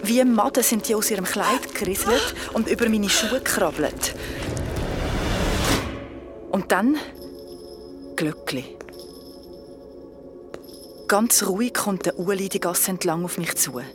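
A woman's voice: mid-range voice, slow pace, long pauses, music in the background, natural voice. A woman playing a frightened young woman with a Swiss German accent, telling a nightmare in an audio drama with colloquial urgency. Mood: shaken storytelling. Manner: breathless, colloquial, urgent storytelling. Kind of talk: audio drama narration and acting.

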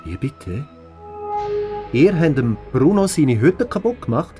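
Animated protective father. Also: fairly high pitch, slow pace, over music. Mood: indignant. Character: protective father, indignant but caring. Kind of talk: audio story dialogue for children.

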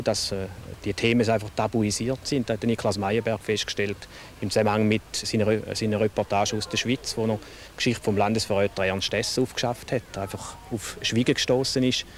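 A man's voice: fairly low pitch, slight background noise. A man giving an explanatory spoken commentary.

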